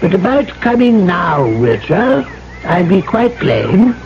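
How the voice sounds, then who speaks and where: High-voiced, duplicitous colonial trader villain. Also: male voice, big pitch swings, darkish tone, heavily acted. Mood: falsely regretful. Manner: clubby, breezy, deliberate. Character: smooth-talking, duplicitous colonial trader, falsely apologetic villain. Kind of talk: old-time radio drama acting. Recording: muffled old radio broadcast, faint noise.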